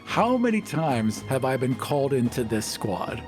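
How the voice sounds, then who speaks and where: A man playing a big, angry, fearless rabbit-man mercenary who talks in a gruff, impatient, demanding way in a fantasy tabletop game. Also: medium pitch, sing-song, measured pace, music in the background. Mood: fed up.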